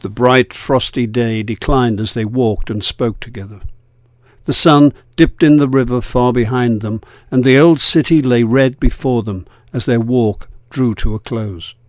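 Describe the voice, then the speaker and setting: A man narrating classic literature from a script in a solemn, measured storytelling manner. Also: mid-range voice, close to an everyday voice. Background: music.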